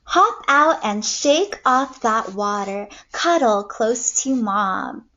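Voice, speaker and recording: A young woman teacher reading aloud to kindergartners in an expressive storytelling way, recorded indoors on a computer mic. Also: on the high side, slow, highs cut off, slight background noise. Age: young adult. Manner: expressive, clearly paced storytelling for children. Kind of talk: read-aloud lesson for young children. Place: small room at home, indoors.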